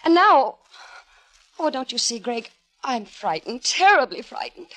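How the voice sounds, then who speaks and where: High-pitched, melodramatic scheming wife. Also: female voice, very animated, a bit bright. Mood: anxious, pleading. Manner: melodramatic, dramatic pauses and repetitions. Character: scheming jilted wife, volatile and theatrical. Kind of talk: 1950s radio drama acting. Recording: vintage 1950s radio broadcast recording.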